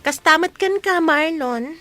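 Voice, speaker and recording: A woman's voice: high-pitched, measured pace, over music. A woman playing a chatty, teasing young best friend who meddles, in an Ilocano radio comedy drama, with a Filipino accent. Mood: chirpy, helpful.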